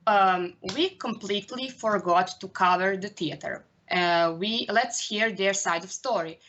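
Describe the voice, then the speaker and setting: A woman of about twenty with a Serbian accent, giving a careful, halting presentation over a video call as a youth portal editor. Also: medium pitch, fairly bright tone, lo-fi sound, a little hiss or hum. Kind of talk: conference presentation.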